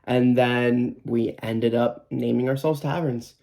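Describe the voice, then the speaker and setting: A man's voice: mid-range voice, fairly even intonation, slow pace, gravelly, dark tone. A man in his twenties, a band guitarist, answering interview questions in a casual, hesitant way with many fillers and restarts. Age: 20s. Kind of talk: documentary interview.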